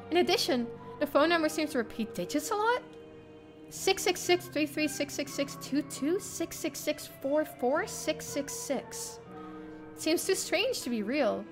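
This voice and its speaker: A young woman streamer reading a visual novel aloud in the first person, expressive and theatrical. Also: on the high side. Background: game music.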